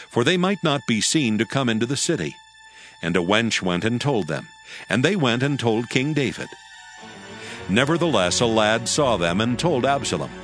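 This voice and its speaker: A man narrating a dramatized scripture reading in a steady, measured way. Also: lowish voice. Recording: faint music bed.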